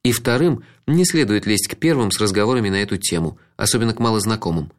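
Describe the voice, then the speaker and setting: A man's voice: on the low side, fast talker. A man reading a first-person story aloud, reflective and ironic. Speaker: audiobook narrator. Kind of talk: first-person literary narration.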